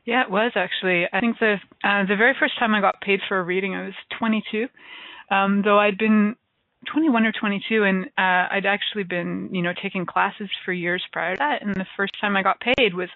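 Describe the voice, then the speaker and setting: A woman's voice: mid-range voice, steady tone, fairly quick, no room sound. A woman in her forties, a psychic reader guesting on a radio show, telling stories with laughs and rambling asides. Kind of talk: casual interview chat. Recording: dry, tinny phone line.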